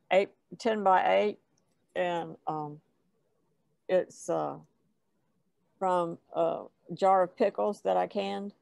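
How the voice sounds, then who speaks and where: An older woman talks informally about her paintings. Her voice sits low with plenty of fry, and the sound is dry, without room echo. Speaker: painter showing her work. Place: dim indoor room on a video call. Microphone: wired earbuds.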